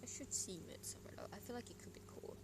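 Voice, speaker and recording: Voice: mid-range voice, lively intonation, fast talker, gravelly. A young adult from Sweden with a Swedish accent, chatting casually and rambling on a solo stream at home, with muttered and whispered asides. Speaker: livestreamer. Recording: noisy room ambience.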